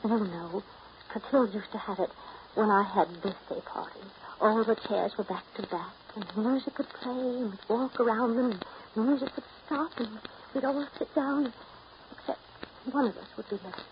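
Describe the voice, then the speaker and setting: An actress playing a restless, self-pitying woman afraid of getting old, pleading emotionally and haltingly in 1930s radio drama style. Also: on the high side, close to an everyday voice. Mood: wistful coaxing. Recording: muffled, noisy 1930s radio broadcast recording.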